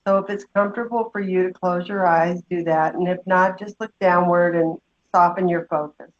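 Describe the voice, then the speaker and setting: Low-voiced woman guiding a meditation. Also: fairly even intonation. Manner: slow, gentle instruction with long pauses. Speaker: meditation guide. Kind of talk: guided meditation. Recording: dull, muffled lo-fi sound.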